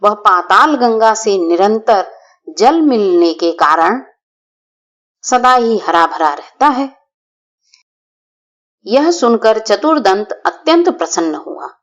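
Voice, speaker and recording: A woman's voice: medium pitch, muffled recording. A woman storyteller reading a scripted children's tale in a formal, careful manner.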